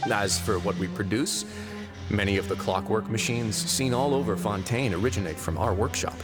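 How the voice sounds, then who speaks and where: A composed, dryly authoritative warden from a video game played by a man. His low voice moves expressively through formal, courteous explanations. Music is clearly heard behind him. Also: expressive, natural voice. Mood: matter-of-fact. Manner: measured, formal, matter-of-fact explaining.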